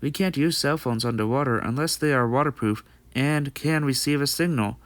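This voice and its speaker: A man's voice: medium pitch, moderate pace, no echo, lightly acted. A man playing a friendly, dutiful young male pony in an amateur comic dub, explaining things in an earnest, read-out way. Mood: earnest, pondering.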